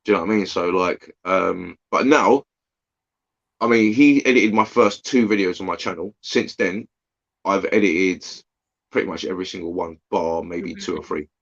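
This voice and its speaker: A man's voice: sits low, normal speed, vocal fry, lo-fi sound. A man with a Yorkshire accent, a guest YouTuber chatting casually on a live stream, rambling with lots of fillers. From Yorkshire, England. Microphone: phone.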